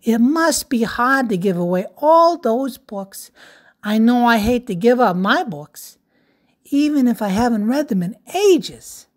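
A woman voicing a kindly middle-aged librarian in a storybook read-aloud, gentle and reassuring. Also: on the high side, lively intonation, a little slow, voice pushed up.

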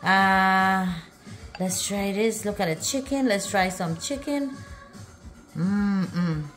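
A woman's voice: medium pitch, takes it slowly, a bit bright, echoey room, soft background music. A middle-aged woman chatting casually as a food vlog host, often repeating herself as she exclaims over the food. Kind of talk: casual cooking vlog chat. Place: home kitchen, indoors.

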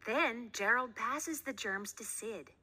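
A woman voicing a patient female schoolteacher in a storybook, instructing clearly and emphatically. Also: on the high side, slow pace, bright, forceful tone, voice pushed up, exaggerated voice. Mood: instructive.

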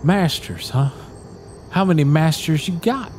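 An actor playing a short-tempered, threatening kidnapper. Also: male voice, fairly high pitch, expressive, unhurried, darkish tone, slight background noise. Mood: menacing. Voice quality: gruff, threatening. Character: menacing short-tempered male kidnapper driver.

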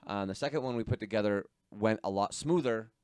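Man in his 30s, lower voice, easygoing podcast co-host, dry close mic, home workshop. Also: a little fast, darkish tone, clear, resonant tone, dry recording. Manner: easygoing, interjects short agreements. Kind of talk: casual hobby podcast chat. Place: small home workshop indoors. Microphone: studio mic on boom arm, close.

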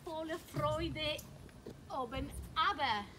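A woman's voice: high voice, takes it slowly, noisy background. A middle-aged woman, not a native German speaker, giving an improvised tour of a school playground with many fillers and self-corrections, recorded outdoors on a phone. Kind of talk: improvised walking presentation.

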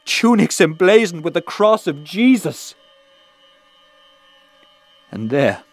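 An actor playing a haunted, guilt-stricken crusader, recounting in a halting, anguished way in a historical audio drama. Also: male voice, on the high side, a little mellow, husky, heavily acted. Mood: stunned anguish. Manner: halting, repeating words, anguished.

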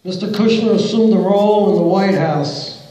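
A man's voice: high-pitched, moderate pace, dark tone. A middle-aged man making a public comment at a council meeting, reading quotes aloud and firing pointed yes-or-no questions from the podium. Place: large council chamber, indoors. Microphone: podium mic, recorded by a far camera in the audience. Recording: very echoey hall from far off.

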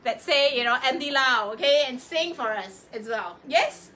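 A woman's voice: sits high, animated, normal speed, noisy background. A woman hosting a live fashion sales show in a chatty, effusive, coaxing way.

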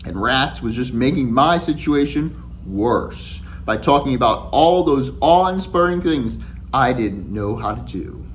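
Male author, forties, expressive storybook read, very varied intonation, chatty delivery, faint hum behind. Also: mid-range voice, measured pace. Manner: animated, conversational first-person storytelling. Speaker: author reading own book aloud. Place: small indoor room at home with shelves.

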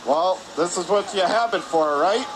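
Man, hockey play-by-play announcer, higher voice, live radio commentary, noisy indoor rink. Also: moderate pace. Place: spectator area of an indoor ice rink. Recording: noisy arena hum behind the voice.

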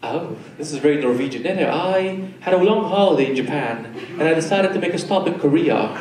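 A man's voice: fairly high pitch, fairly quick. A man in his thirties doing stand-up crowd work on a small club stage, teasing the audience into a handheld mic. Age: 30s. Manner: teasing, animated banter with audience. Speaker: stand-up comedian. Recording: echoey club recorded from far off with crowd noise. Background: audience laughter.

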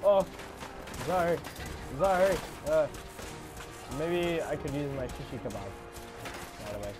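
Young male gamer, congested, unhurried mumble, curses and loud bursts, music bed behind. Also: on the high side, expressive. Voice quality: congested, stuffy. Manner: mumbling, swearing, sudden loud outbursts. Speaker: gamer playing a shooter game. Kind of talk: casual gameplay commentary.

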